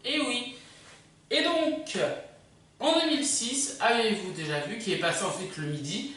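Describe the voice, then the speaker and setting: A woman hosting a casual countdown video, presenting in a hesitant, drawn-out way. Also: a little high, fairly bright tone. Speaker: video host. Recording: very echoey, distant from microphone.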